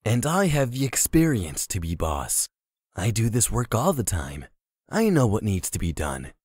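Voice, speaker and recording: A man's voice: lowish voice, wildly swooping pitch, a little slow, a little mellow, slightly rough, no room sound, studio quality, exaggerated voice. A man playing a meek, earnest, simple janitor in a comic dub.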